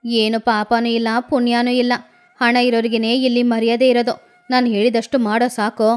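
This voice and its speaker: A woman's voice: fairly high pitch, little pitch movement, normal speed, clean tone, faint music behind, pitched below the performer's own voice, natural voice. A woman voicing a greedy, hard-hearted female crow moneylender in a children's cartoon story, with a dismissive, bossy way of talking. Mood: smug, scornful.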